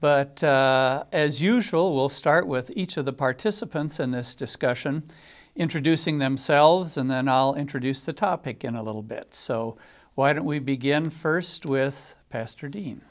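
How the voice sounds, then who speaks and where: A man in his seventies hosting a local TV panel discussion, speaking haltingly with frequent pauses and fillers. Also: mid-range voice. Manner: hesitant, many filler pauses. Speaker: talk show host.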